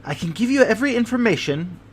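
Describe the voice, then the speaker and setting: A man voicing a fidgety, anxious bald little man of thirty with a high, thin voice and fussy, overly polite manner. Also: lively intonation, quick pace, slightly rough. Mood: excited.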